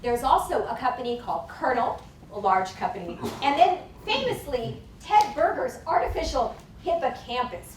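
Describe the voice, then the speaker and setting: Woman conference speaker, emphatic academic delivery, distant and echoey with faint hiss. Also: on the high side. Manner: emphatic, deliberate lecturing. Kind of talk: academic lecture. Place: conference hall. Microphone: lapel mic. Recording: echoey hall from far off, faint noise.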